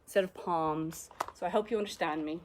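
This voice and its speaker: A woman's voice: on the low side, fairly quick, a little hiss or hum. A woman with an Irish accent teaching a live exercise class, cueing each movement and counting reps with encouragement. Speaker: fitness instructor.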